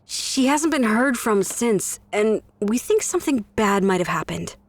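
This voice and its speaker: An actress playing an earnest young dental office worker, polite and pleading. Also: female voice, medium pitch, animated, a little slow, no echo.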